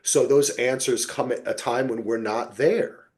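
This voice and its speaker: A man with an American accent hosting a casual TV discussion, sweary and quick to act out imagined dialogue. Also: medium pitch, fairly even intonation, darkish tone. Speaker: podcast host. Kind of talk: casual chat about television.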